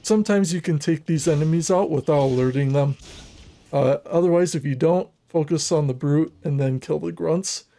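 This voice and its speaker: A man narrating a scripted video game walkthrough in a measured, methodical, instructional manner. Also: a little high, warm tone.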